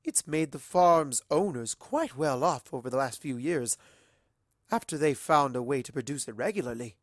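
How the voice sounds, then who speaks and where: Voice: medium pitch, expressive, normal speed, dry recording, studio quality, natural voice. A performer voicing a refined, poised female pony socialite with a genteel, composed delivery. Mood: matter-of-fact.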